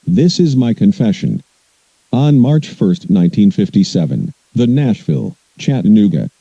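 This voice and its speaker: Man voicing a very dark-toned, bitter old confessor. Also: on the low side, dry recording, dull audio. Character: bitter 77-year-old male railroad man, confessed disease creator. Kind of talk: scripted confession monologue.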